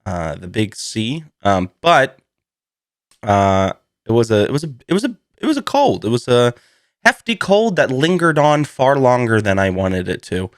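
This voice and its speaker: A man hosting a solo podcast in a casual, rambling, self-deprecating way, slightly hoarse from a lingering cold. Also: medium pitch, sing-song, normal speed, no echo, clean studio sound. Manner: rambling, self-deprecating, laughs through his words. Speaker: podcast host.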